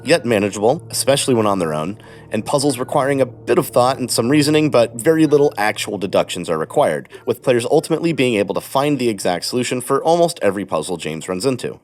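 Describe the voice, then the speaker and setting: A man reading scripted narration for a video game guide in a measured, explanatory way. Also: mid-range voice.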